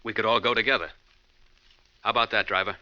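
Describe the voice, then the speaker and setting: An actor playing a suspicious, needling bus passenger with a sardonic, probing manner in an old-time radio thriller. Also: male voice, fairly low pitch, steady tone, quick pace, bright, forceful tone, dull audio. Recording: thin, noisy old radio recording.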